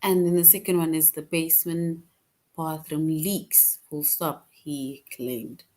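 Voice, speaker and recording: Slow, low-voiced woman teaching. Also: fairly even intonation, a little mellow. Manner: slow, patient, reads answer options aloud. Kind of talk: online grammar lesson for children.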